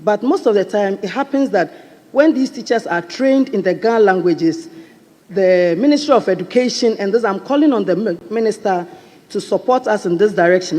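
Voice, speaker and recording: High-voiced Ghanaian man in a parliament. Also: darkish tone. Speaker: member of parliament. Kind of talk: formal parliamentary speech. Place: large parliament chamber. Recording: long reverb of a large chamber.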